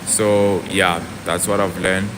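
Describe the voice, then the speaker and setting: Young man, chatty livestream talk, fairly low voice, replies to chat mid-sentence, air conditioner hum and passing traffic behind. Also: little pitch movement, takes it slowly. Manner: chatty, answers viewer comments aloud mid-thought. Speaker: fitness vlogger. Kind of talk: casual livestream chat with viewers.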